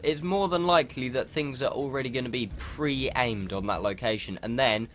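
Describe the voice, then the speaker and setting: Stuffy-nosed gamer explaining. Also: male voice, mid-range voice, moderate pace, fairly bright tone, slight background noise. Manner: rambling, explanatory, many ums. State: stuffy nose from a cold. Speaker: gaming channel host commenting a replay. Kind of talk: casual gameplay commentary.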